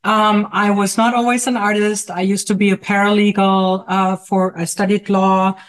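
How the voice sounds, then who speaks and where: German-accented middle-aged woman, halting and casual. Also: mid-range voice, level tone. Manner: halting, frequent hesitations. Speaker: guest artist and teacher. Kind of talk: casual autobiographical interview talk.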